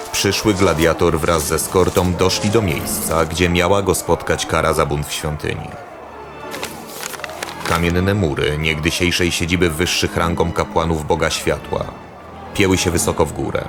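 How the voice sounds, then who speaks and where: A man narrating a scripted fantasy audio drama as its storyteller. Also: deep voice. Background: loud music.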